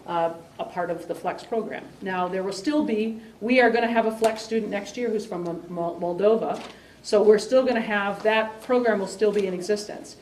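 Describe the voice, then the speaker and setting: A woman's voice: mid-range voice, a little mellow. Woman presenter at a community meeting, rambling, heard from a distant mic in a roomy space. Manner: rambling, halting with fillers and restarts. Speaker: exchange program coordinator. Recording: roomy sound from a distant mic, faint hiss.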